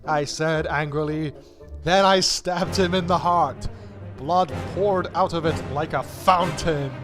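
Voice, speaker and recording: A man giving a theatrical, deliberate dramatic reading of fan fiction. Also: on the high side, fairly even intonation. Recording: music playing behind the voice. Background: background music.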